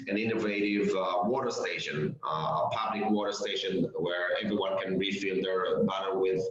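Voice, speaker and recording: Hesitant Israeli man, low slow voice. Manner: hesitant, many fillers and restarts. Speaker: startup founder and CEO pitching investors. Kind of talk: investor pitch over a call. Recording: echoey, dull lo-fi audio.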